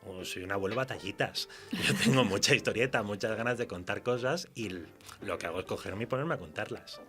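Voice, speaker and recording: A man's voice: lowish voice, a little fast, fairly bright tone, faint music behind. A man with a Spanish accent from Spain, answering informally as a writer in a radio interview, telling anecdotes in a chatty, colloquial way. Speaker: interview guest, fiction writer.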